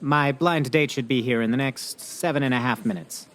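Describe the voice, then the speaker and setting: A man voice actor playing a literal-minded, lonely man waiting for a date, precise and deadpan, in a comedic radio play. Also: medium pitch, normal speed, natural voice.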